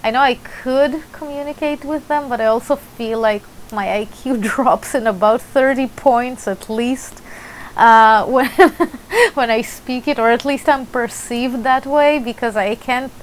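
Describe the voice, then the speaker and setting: Young woman, Israeli accent, slow and hesitant delivery with lots of fillers, faint background hiss. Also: on the high side, low-quality recording. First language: Russian. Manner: hesitant, many fillers and restarts, light laughter. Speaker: vlogger. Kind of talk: casual vlog monologue.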